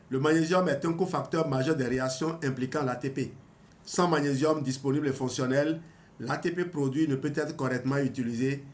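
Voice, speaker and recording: A man in his fifties reading a health book aloud and adding his own comments, often hesitating and restarting. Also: on the high side. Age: 50s. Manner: hesitant, with restarts and small laughs. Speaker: health channel host.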